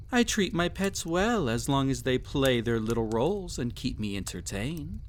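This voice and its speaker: A man's voice: mid-range voice, slight background noise. A man playing a cruel, possessive emperor with a haughty, silky, condescending manner in a scripted roleplay addressed to the listener. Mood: amused, condescending. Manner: haughty, silky, condescending, theatrically drawn-out delivery. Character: cruel, possessive, mocking young emperor pampering a captive pet.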